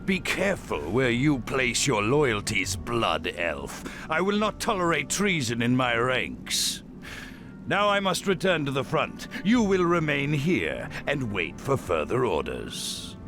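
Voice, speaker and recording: An actor playing an arrogant, bigoted human grand marshal in a video game cutscene, giving clipped, contemptuous orders. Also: male voice, mid-range voice, big pitch swings, takes it slowly, over music. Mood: stern. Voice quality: haughty, clipped commands, contemptuous. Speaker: military commander.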